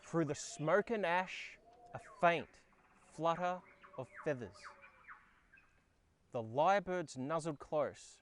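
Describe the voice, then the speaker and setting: An Australian man narrating a children's storybook in a dramatic, expressive way. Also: on the high side, slow pace, pauses often. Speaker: storyteller and host. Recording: background ambience and effects noise. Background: sound effects and ambience.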